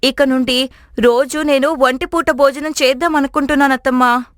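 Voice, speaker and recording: Young frugal daughter-in-law acted by a woman, high voice, very dry sound, earnest and preachy, for an animated family tale. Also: normal speed, no room sound, close to an everyday voice. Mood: earnest, insistent.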